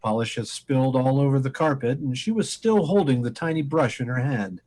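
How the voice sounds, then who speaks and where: A man playing a calm, methodical police inspector in an old-time radio mystery, deliberate and probing. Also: mid-range voice, lightly acted.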